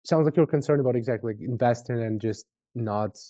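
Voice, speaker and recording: Mellow salesman on a dull-sounding call. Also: mid-range voice, a little fast, dry recording. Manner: informal, questioning, checks in often. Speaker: sales representative for a marketing agency. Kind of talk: conversational sales call pitch. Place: online video call.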